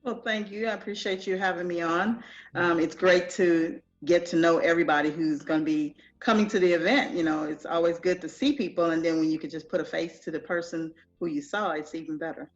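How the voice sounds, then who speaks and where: A woman's voice: on the low side, steady tone, fairly quick, highs cut off, faint music behind. Trinidadian woman, easygoing interview talk. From Trinidad and Tobago. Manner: easygoing, conversational, frequent "you know". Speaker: festival founder, guest. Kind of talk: casual interview.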